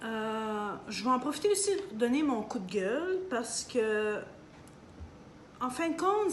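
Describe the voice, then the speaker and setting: A woman with a Canadian French accent giving an emphatic opinion monologue straight to her viewers, hesitating often with fillers. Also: a little high. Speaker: video commentator. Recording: echoey room, faint background hum.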